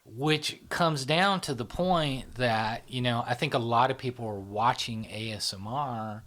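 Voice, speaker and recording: A man's voice: mid-range voice, a bit bright. A man hosting a podcast, talking casually and working through ideas aloud, often checking in with "you know" and "right?". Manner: conversational, drawn-out, frequent "you know" and "right?". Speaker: podcast host. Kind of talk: casual discussion.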